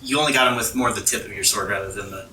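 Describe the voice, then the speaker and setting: A man's voice: fairly low pitch, very fast, pushed and bright, slight background noise. A man acting as game master, improvising vivid blow-by-blow narration of a tabletop game in casual language. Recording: roomy, distant sound with music behind. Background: background music.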